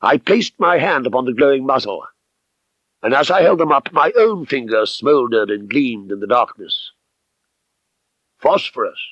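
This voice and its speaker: A man reading a mystery novel as an audiobook narrator, with measured, precise, dramatic literary delivery. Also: medium pitch, animated, fairly bright tone, no room sound. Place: dry studio. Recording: slightly dull 1970s audiobook recording.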